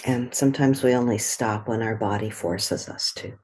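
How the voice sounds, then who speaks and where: A woman leading a guided meditation in a gentle, soothing way, with a soft, breathy voice and long pauses between short phrases. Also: rumbling low, steady tone.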